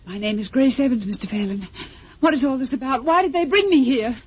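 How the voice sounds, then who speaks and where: A woman's voice: sits high, lively intonation, fairly quick, slightly rough. An actress playing a frightened, bewildered woman held captive in a radio drama, urgent and emotional. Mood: alarmed. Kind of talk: old-time radio drama acting. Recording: muffled old radio drama recording.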